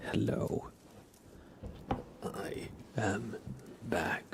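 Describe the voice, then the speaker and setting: A man hosting an ASMR livestream, chatting softly and mostly in whispers close to the microphone. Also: fairly low pitch, creaky. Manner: slow, soft, mostly whispered.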